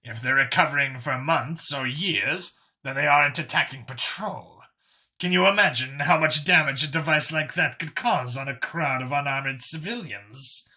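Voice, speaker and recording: A man's voice: on the high side, moderate pace, sharp tone. A man voicing an eager, callous male alien councilor who speaks in a pompous, emphatic way in an audiobook story.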